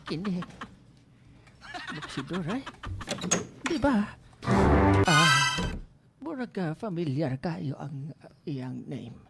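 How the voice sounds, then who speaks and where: Flirty lady boss, slow and lively. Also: low-pitched, vocal fry, music in the background. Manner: flirty, teasing, mixing English into speech. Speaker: company boss interviewing an applicant. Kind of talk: comic drama acting. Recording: loud background noise.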